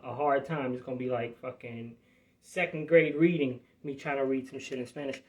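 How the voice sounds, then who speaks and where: Man from New Jersey, podcast host, slightly high voice, loose rambling delivery full of swearing. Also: creaky. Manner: profane, rambling, streetwise talk. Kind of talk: casual live podcast chat.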